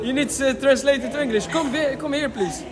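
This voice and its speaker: A man's voice: very high voice, a little fast, crowd noise. A man in his thirties hosting an on-camera street interview in a shopping mall, mixing in religious phrases. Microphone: small handheld wireless mic.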